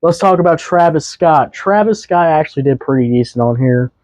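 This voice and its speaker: A young man hosting a casual music review podcast, his voice a bit hoarse, rambling loosely with fillers and restarts. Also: a little high, animated, fairly quick, a little mellow, dry recording. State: voice slightly hoarse.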